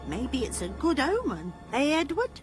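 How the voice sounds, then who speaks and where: A woman's voice: high-pitched, wildly swooping pitch, normal speed, over music. An actress with a British accent playing a fussing, affectionate middle-aged mother in a radio drama. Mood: awed.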